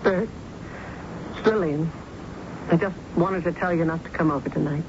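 Actress as low-voiced, hard-bitten American working mother. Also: moderate pace, natural voice. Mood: bitter, wry. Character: hard-bitten, ambitious working mother and deserted wife, an office typist. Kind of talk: old-time radio drama acting. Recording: dull, very noisy old radio broadcast.

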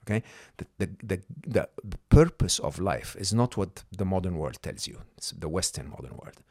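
A man's voice: low-pitched, very animated, normal speed, gravelly, darkish tone, no room sound, clean studio sound. A middle-aged man whose first language is not English, a podcast guest explaining ideas emphatically and often checking in with the listener. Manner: emphatic, checks in with "okay?" and "hmm?". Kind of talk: conversational coaching talk.

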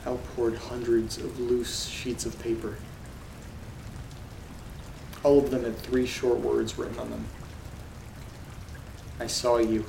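Gravelly flat-voiced man, rain behind. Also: mid-range voice, takes it slowly, long pauses. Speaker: host reading letters. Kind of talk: horror audio drama narration. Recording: roomy echo with loud rain noise. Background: rain and thunder.

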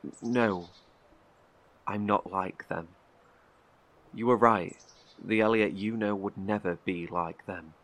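A bitter, guarded survivor acted by a man in a horror audio drama. His voice sits low, and he speaks in terse, defensive phrases weighed down with guilt. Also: steady tone, slow pace, long pauses, fairly bright tone, low-quality recording. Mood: weary, defensive.

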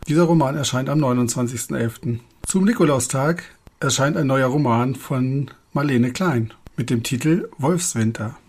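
A man's voice: mid-range voice, a little mellow. A man hosting a podcast, asking questions and making announcements. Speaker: podcast host. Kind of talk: podcast interviewing and scripted announcements.

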